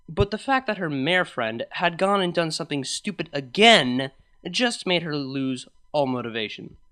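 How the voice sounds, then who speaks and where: A woman reading a scripted fan fiction story as narrator, in an expressive storytelling manner. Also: deep voice.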